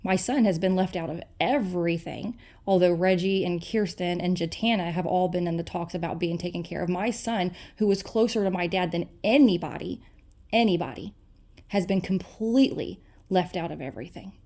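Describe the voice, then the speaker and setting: A woman's voice: lowish voice, normal speed, dark tone. An adult woman making her case in a personal phone argument. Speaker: private caller in a family dispute.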